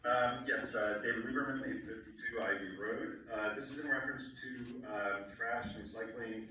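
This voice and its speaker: A man giving a polite, halting public comment over a remote meeting connection. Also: medium pitch, recorded from a distance, noisy background. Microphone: remote video-meeting connection. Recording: echoey remote audio through room speakers.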